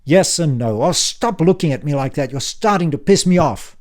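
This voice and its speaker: A man doing a gruff, rude older uncle. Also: on the high side, expressive, moderate pace, a little mellow, voice pushed up, close to an everyday voice. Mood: brusque. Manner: gruff, cutting, bossy. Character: brash, impatient older uncle, rude and sardonic. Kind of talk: audiobook character voice.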